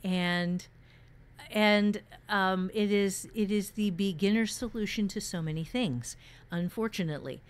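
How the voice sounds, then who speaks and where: Woman chatting, halting and emphatic. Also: medium pitch. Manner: halting, repeats words for emphasis. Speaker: podcast host, experienced fiction writer. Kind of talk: casual advice discussion about fiction writing.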